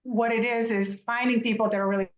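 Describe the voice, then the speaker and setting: A woman's voice: on the high side, fairly even intonation, fast talker. A woman from Serbia, speaking candidly and casually as a guest executive. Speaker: dentist and executive, podcast guest. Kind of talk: casual conversational. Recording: dull, echoey room sound.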